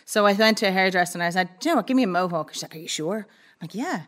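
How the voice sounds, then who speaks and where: A woman's voice: mid-range voice, animated, rapid-fire, fairly bright tone. A young woman, a guest on a talk show, telling her story in a plain, matter-of-fact way. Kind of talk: casual interview chat.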